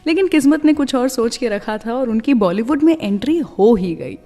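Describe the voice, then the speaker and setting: A woman's voice: fairly high pitch, lively intonation, normal speed, mellow, in a large hall. An Indian woman presenting a scripted radio show, telling stories and addressing listeners directly, mixing in English phrases. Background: music.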